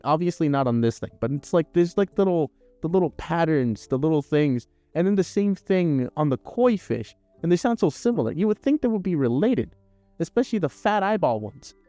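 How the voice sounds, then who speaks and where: A man's voice: on the high side, warm tone, no echo. Rambling, swearing young male livestreamer. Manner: rambling, swearing, laughing, reading chat aloud. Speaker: arts and crafts livestreamer. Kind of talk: casual live stream chat while crafting.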